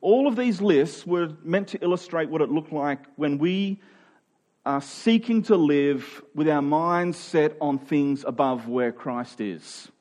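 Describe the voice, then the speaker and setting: A man's voice: a little high, animated, slow pace, a little mellow. A man preaching in a conversational, expository style, earnest and informal, leaning on key phrases for emphasis.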